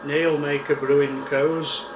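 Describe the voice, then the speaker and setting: A man with a West Yorkshire accent hosting a casual beer-tasting vlog, chatty and unhurried, with plenty of ums and uhs. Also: on the high side, flat delivery, music in the background. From West Yorkshire, England. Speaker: beer reviewer, channel host. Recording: echoey room with air-conditioning hum.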